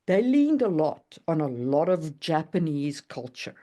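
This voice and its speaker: Woman, animated pitch, casual podcast talk, emphatic, stresses key words. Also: a little slow. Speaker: podcast co-host and writing critic. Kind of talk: casual podcast chat about writing.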